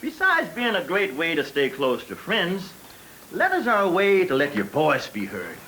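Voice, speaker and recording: A man's voice: sits high, wildly swooping pitch, moderate pace, bright, forceful tone, heavily acted. An older American man playing a kindly, encouraging retired schoolteacher in a scripted educational film, explaining things patiently. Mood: earnest, encouraging. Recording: faintly noisy 1980s 16mm film soundtrack.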